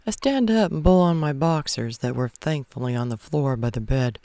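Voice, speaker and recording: A man's voice: on the high side, normal speed, mellow, no echo. A man narrating a first-person romance story as a read-aloud. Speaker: audiobook-style narrator.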